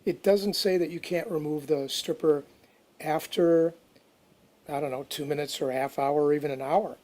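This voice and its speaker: A man's voice: fairly high pitch, fairly even intonation, normal speed, a little mellow. A man giving casual how-to narration for a DIY project, informal and unscripted, as if thinking aloud. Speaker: DIY restoration video narrator.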